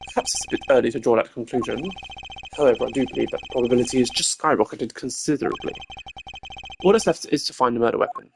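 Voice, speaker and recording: Sardonic prosecutor performed by a man, formal and precise, mid pitch with gravelly fry, pompous video game detective work. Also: fast talker, slight background noise, lightly acted. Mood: deliberate. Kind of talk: amateur video game dialogue voicing.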